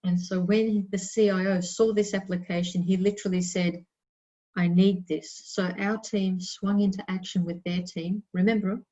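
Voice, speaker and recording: A woman's voice: lowish voice, warm tone, lo-fi sound. A woman whose first language is Italian, giving an emphatic, chatty webinar presentation with fillers. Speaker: innovation presenter.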